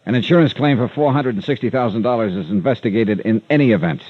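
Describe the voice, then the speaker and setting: An actor playing a tough, skeptical insurance investigator in an old radio drama, terse, dry and blunt. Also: male voice, medium pitch, fast, no room sound, natural voice. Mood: angry, blunt. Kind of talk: 1940s-50s radio detective acting and narration. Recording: dull vintage radio recording.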